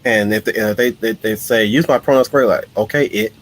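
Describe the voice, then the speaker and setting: A man's voice: lowish voice, fast talker. Blunt man hosting a live stream. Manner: blunt, repeats words while starting sentences. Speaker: stream host. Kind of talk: casual live-stream chat.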